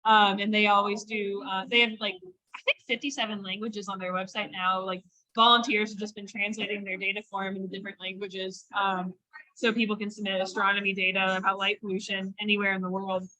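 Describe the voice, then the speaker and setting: A woman's voice: mid-range voice, little pitch movement, brisk, pushed and bright, low-quality recording. A young woman hosting an interview over a video call, enthusiastic and upbeat. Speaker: interview host. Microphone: laptop mic on video call.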